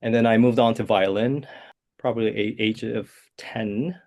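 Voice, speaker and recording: A man, a composer answering interview questions in a soft, hesitant way with many fillers. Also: on the low side, fairly even intonation, moderate pace. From South Korea. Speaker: guest composer.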